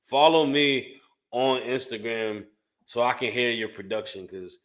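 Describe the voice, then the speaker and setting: A man's voice: medium pitch, unhurried, fairly bright tone. A man co-hosting a casual podcast, slangy and loose, full of likes, dudes and bros. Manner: slangy, says like and bro a lot. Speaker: podcast co-host. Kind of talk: casual chat.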